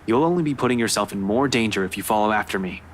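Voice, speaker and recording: A woman voicing a stern young male police detective who speaks bluntly and gives orders, over steady background noise. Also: extremely low pitch, quick pace, voice pushed down. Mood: firm. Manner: blunt, commanding.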